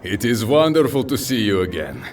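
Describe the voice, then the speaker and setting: A male actor as a hearty warrior commander, low in pitch and very animated in his swings. He speaks in formal, slightly stilted phrases with an affectionate temper, set a little away from the mic in an audio drama. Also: moderate pace. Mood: hearty, delighted. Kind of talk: audio drama character acting. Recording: distant mic with faint noise.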